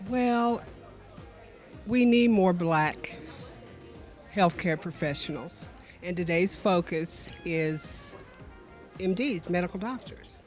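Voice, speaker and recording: An older woman, a nurse, answering interview questions in a deliberate way. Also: lowish voice, darkish tone, faint music behind.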